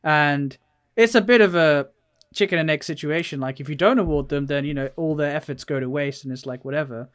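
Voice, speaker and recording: A man with a voice a little on the high side talks casually as a podcast co-host. He feels his way through his points in a halting, think-aloud manner. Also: fairly bright tone, clean tone. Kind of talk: casual chat about video games.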